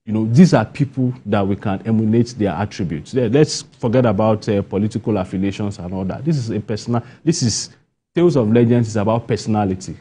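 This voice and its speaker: A man's voice: fairly low pitch, fast talker, mellow, husky. A man with a Nigerian accent giving spontaneous interview answers, emphatic and repeating key phrases for stress. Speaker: interview guest, playwright.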